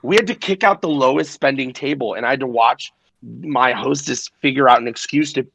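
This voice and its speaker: A man's voice: medium pitch, vocal fry, fairly bright tone, slightly rough, no echo, poor audio. A man in his late twenties, a DJ, chatting casually and telling rambling, profane stories. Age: 20s. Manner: rambling, profane storytelling with quick impressions. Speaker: DJ guest. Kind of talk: casual podcast chat.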